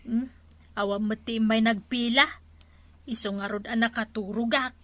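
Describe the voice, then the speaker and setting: A woman playing an animated, superstitious village shopkeeper in a radio comedy drama, exclamatory and chatty. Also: fairly high pitch, moderate pace, dry recording, lightly acted. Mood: sleepy, flustered.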